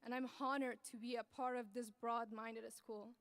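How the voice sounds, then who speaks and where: A young woman from Afghanistan giving an earnest, measured graduation speech as the student speaker at a podium microphone. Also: on the high side, level tone, no echo. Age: young adult. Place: large ceremony venue.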